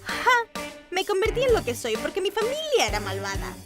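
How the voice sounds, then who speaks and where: A woman voicing a scornful, wicked young villainess in an animated children's dub, theatrical and mocking, with an accent from Spain. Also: very high-pitched, normal speed, heavily acted. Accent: Spanish from Spain. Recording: music under the dialogue.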